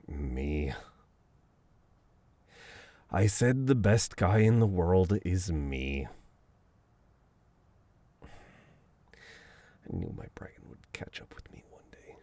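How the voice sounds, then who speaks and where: A man's voice: deep voice, mellow, dry recording. A man acting a flustered, teasing best friend with a dominant streak in an intimate, conversational ASMR roleplay, often sounding exasperated. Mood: defensive, bantering. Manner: conversational, exasperated, breaking into chuckles and sighs.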